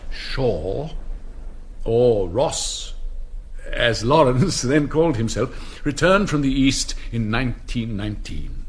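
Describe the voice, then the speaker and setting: An actor playing a pompous elderly English headmaster who lectures in a mannered, declamatory, theatrical way. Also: male voice, mid-range voice, husky.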